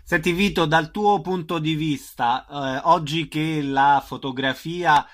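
A man's voice: on the high side, slow pace. A man in his fifties interviewing a guest with short, direct questions. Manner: brief prompting questions.

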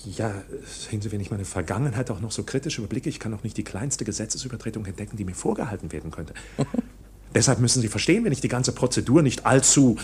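A man's voice: fairly low pitch, animated, fast talker, a little mellow, slight background noise, natural voice. An actor playing a flustered accused man in a radio drama, protesting formally and hesitantly with stammered self-corrections. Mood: agitated, pleading. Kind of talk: German radio drama acting.

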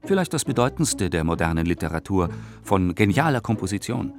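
Male radio-play reader, lowish voice, highly varied melody, theatrical measured delivery of scripted documents. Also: sing-song, faint music behind. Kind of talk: scripted dramatic reading of historical letters and documents. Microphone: close studio microphone.

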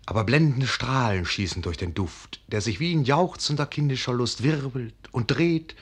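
A man's voice: medium pitch, expressive, normal speed, slightly rough. A man delivering poetic, dramatic narration in a radio play. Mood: rapt wonder.

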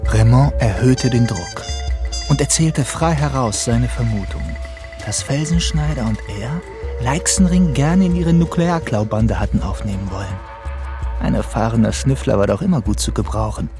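An actor narrating a hard-boiled crime audio drama in a scripted storytelling style. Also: male voice, mid-range voice, moderate pace, natural voice. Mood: measured storytelling. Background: music.

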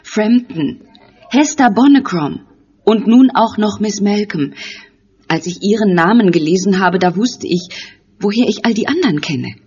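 A woman's voice: mid-range voice, animated, measured pace, a little mellow, breathy edge. Earnest, insistent middle-aged lady, muffled. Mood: earnest revelation. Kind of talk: radio play acting. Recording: muffled studio recording.

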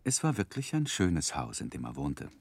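A romantic gentleman telling his life story, old radio play, really deep voice, dry close sound. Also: slightly rough, no echo, heavily acted. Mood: reflective, composed. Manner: measured, expressive, literary. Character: earnest, romantic gentleman recalling his young manhood. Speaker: narrator and main character. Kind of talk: dramatic first-person narration, 1960s German radio play.

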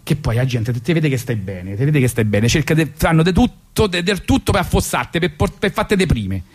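A man's voice: on the high side, expressive, fairly quick. A man with a Roman accent hosting a radio show, telling comic stories in an ironic, rambling way with lots of asides. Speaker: radio host. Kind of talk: comic storytelling monologue. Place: radio studio.

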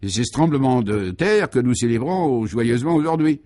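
A man's voice: medium pitch, animated, moderate pace, warm tone, lightly acted. A man voicing a fat, jovial small-town mayor in a comic radio serial, declamatory and unshakably optimistic. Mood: proudly emphatic.

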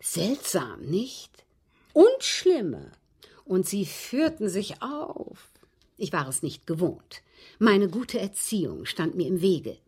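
A ladylike old widow in a radio drama, with a husky, breathy voice that rises and dips expressively. She speaks precisely and without hurry, composed and slyly evasive about what she has done. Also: mid-range voice, huge pitch swings, slow pace, darkish tone, heavily acted. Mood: wry indignation. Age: older. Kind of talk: German radio drama acting.

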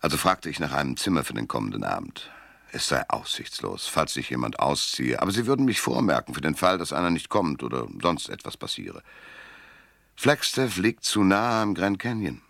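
Very deep, sardonic private detective. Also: male voice, big pitch swings, moderate pace, gravelly, a bit bright, dry recording, heavily acted. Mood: wry, reflective. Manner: dry, laconic, self-mocking. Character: world-weary, sardonic male private detective, narrating his case. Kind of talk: German radio drama narration, hardboiled crime.

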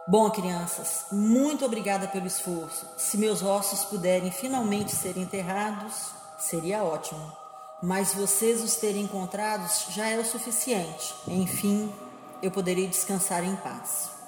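A woman's voice: mid-range voice, measured pace. Echoing ghost woman voiced by an actress. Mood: weary, solemn. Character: weary, grateful ghost of a woman seeking rest. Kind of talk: amateur audio drama acting. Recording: echoey long reverb, music behind.

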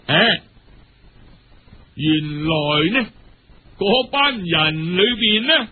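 A man's voice: high voice, expressive, very slow, narrow, tinny audio. A man with a Cantonese accent telling a story in a colloquial, theatrical storytelling style. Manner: theatrical, colloquial, uses many sentence particles.

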